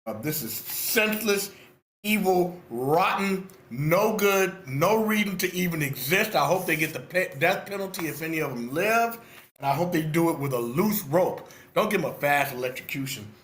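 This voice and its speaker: A bright, fairly high-voiced man delivers an informal script critique. He is theatrical and emphatic, hammering phrases by repeating them, and the room around him echoes. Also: expressive, normal speed. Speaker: screenplay reader giving feedback. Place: online video meeting.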